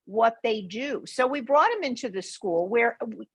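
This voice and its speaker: Lady, school board chair chatting in a meeting, kinda high husky voice. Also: lively intonation, moderate pace. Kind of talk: informal meeting discussion.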